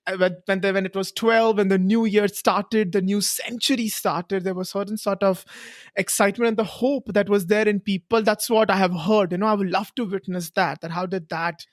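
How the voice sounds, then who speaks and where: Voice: mid-range voice, steady tone, normal speed, dry recording. Podcast host with dry close sound. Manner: warm effusive reactions, reflective fillers like "you know". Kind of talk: casual interview.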